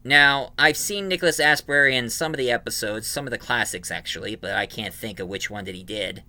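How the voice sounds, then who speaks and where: A man giving an informal, emphatic review of an audio drama, recapping it in a halting way. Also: mid-range voice, sharp tone.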